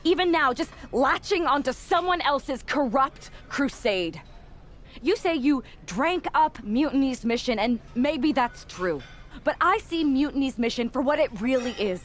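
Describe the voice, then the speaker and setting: Defiant, accusing female wrestler, high-voiced. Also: lively intonation, unhurried, soft background music. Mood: cold, resolute. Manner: accusing, resolute. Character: betrayed defiant female wrestler addressing the crowd. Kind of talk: dramatic wrestling promo in a video game.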